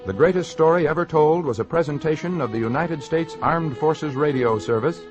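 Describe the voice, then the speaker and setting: A man's voice: fairly high pitch, moderate pace, lo-fi sound. A man narrating and announcing in a solemn, formal, dramatic old-time radio style. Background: prominent music.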